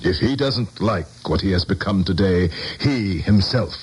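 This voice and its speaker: An actor playing a cynical, condescending middle-aged politician in a radio drama, speaking formal, measured American English. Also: male voice, low voice, lively intonation, a little mellow, slightly rough, heavily acted. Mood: cool, stern. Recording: noisy old radio broadcast.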